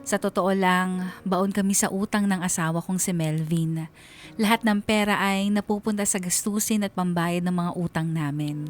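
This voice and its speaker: A woman's voice: lowish voice, fairly even intonation, normal speed, soft background music, close to an everyday voice. A woman playing an aggrieved married schoolteacher, narrating her family troubles as a confession in a radio drama. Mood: aggrieved, reflective.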